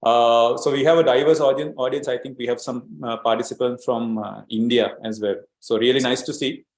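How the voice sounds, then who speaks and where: A man's voice: mid-range voice, fairly even intonation, a little fast, roomy sound. A man from Sri Lanka presenting an online training webinar as an agile coach, explaining steadily with many fillers and repeated words.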